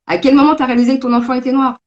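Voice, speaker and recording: A woman's voice: high voice, level tone, racing, lo-fi sound. A woman born in Belgium and raised in France, a game creator chatting casually and thoughtfully, with frequent hesitations, over a video call. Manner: thoughtful, talkative, many hesitations. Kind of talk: casual conversation.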